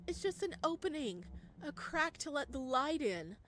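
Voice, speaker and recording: A woman's voice: sits high, sing-song, moderate pace, fairly bright tone, pitched above the performer's own voice. A woman voicing a fervent, coaxing young male cult ritualist in a visual novel, solemn and persuasive.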